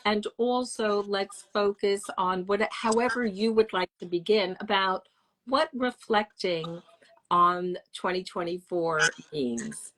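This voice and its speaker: A woman hosting a podcast interview, introducing her guest in a measured way and stacking words into lists. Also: medium pitch, fairly bright tone, poor audio. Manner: measured, repeats words while listing. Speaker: host.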